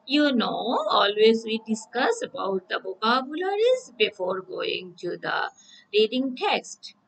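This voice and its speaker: A woman's voice: on the high side, fairly bright tone, husky, low-quality recording. A woman teacher, giving a recorded English lesson and often checking in with "okay?". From Bangladesh. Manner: slow, repeats "okay?" to check understanding.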